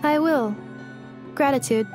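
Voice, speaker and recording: Game role: literal, courteous female android, stilted and emotionless, slightly high register, music underneath. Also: slow. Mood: flat, inquisitive. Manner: stilted, formal, emotionless robotic phrasing. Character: polite literal-minded female robot relearning how to converse. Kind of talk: scripted video game dialogue.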